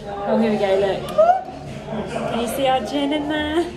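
High-voiced young vlogger, teasing and giggly, on a phone in a noisy crowd. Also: female voice, moderate pace. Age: young adult. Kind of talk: casual vlog chat. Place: outdoors at night in a city. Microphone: handheld phone at arm's length. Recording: loud music and crowd around.

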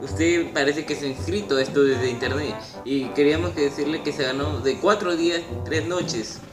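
A teenage male, speaking politely and haltingly, as if on a phone call. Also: on the high side, music in the background. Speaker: YouTuber making a prank call. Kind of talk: improvised prank phone call, posing as a prize agent. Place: small room at home, indoors. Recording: roomy echo.

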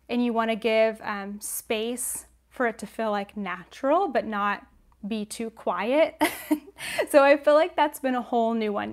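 A young woman hosting a casual livestream Q&A, chatty and encouraging, with a sniffly nose, on a lapel mic in a small room at home. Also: fairly high pitch. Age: young adult. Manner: chatty, encouraging, many ums and likes. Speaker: knitting channel host.

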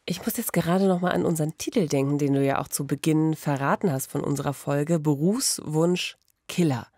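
A woman in her thirties presents true crime in a conversational podcast style. Her voice sits on the low side with clear vocal fry, recorded dry in a small studio. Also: brisk, dark tone, no echo. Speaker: podcast host. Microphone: studio microphone with pop filter, headphones.

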